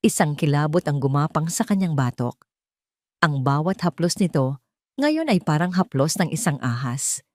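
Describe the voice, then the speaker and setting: A woman reading a dramatic scripted story in a deliberate, suspenseful way. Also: deep voice, expressive, no echo, studio quality. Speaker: audio story narrator.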